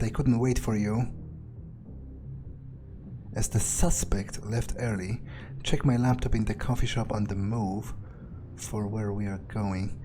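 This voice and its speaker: A man doing in-character game commentary, thinking aloud in a theatrical, self-assured, musing way. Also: fairly low pitch. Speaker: gamer playing in character.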